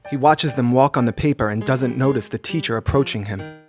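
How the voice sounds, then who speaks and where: A man's voice: mid-range voice, a little fast. Man narrating a story over music. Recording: music behind the voice. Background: background music.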